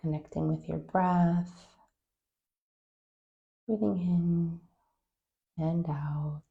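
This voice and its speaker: Slow, low-pitched woman guiding meditation. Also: creaky, phone-quality sound. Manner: calm, gentle, unhurried with long pauses. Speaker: meditation guide. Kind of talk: guided meditation, soft scripted-sounding instruction.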